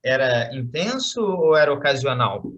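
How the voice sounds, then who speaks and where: An interviewer asking calm questions and giving brief affirmations. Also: medium pitch, moderate pace, dull audio.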